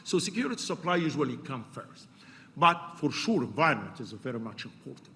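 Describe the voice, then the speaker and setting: Loud-voiced man presenting in a hall. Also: medium pitch, sing-song. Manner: loud voice, halting with fillers. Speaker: panel speaker. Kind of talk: conference presentation. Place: university lecture hall. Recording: echoey hall with faint noise.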